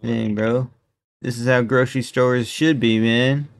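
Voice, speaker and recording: Gravelly young man, casual streamer. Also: medium pitch, steady tone, measured pace, no echo. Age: young adult. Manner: laid-back, slangy. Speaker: streamer reacting to videos. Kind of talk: casual reaction commentary. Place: indoor room at a desk.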